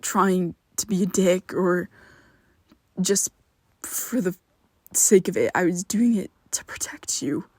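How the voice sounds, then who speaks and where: Woman playing a young male vampire best friend, secretive, protective, slow and halting, half-whispered, ASMR roleplay. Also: on the low side, no echo, clean studio sound. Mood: pleading. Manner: hesitant, halting, often drops to a whisper.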